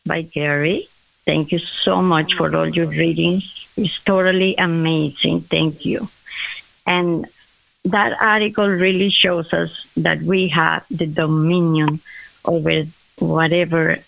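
A woman's voice: fairly low pitch, creaky, a bit bright, no room sound, rough recording. A woman speaking earnestly and appreciatively in a religious group discussion.

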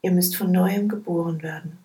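A woman reading Bible passages aloud in a soft, hushed, measured way. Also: lowish voice, fairly even intonation, rough recording. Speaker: scripture reader. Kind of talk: slow, quiet Bible reading.

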